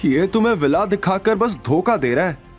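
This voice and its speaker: Arrogant young rival for audio drama, male performer: high, animated, mocking bully who jeers and talks down to everyone. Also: moderate pace, soft background music. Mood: sneering. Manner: jeering, taunting, indignant. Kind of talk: Hindi audio drama acting.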